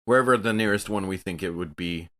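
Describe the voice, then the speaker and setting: Male gamer, fairly low pitch, casual role-playing table talk. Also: fast talker, fairly bright tone. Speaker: tabletop game player. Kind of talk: casual game play.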